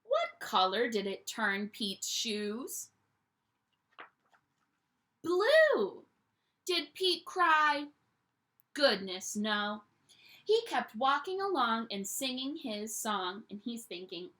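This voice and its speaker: A young woman teacher reading a picture book aloud to children at home, in an animated storytime voice that invites them to join in. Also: on the high side, takes it slowly, pauses often. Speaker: primary school teacher.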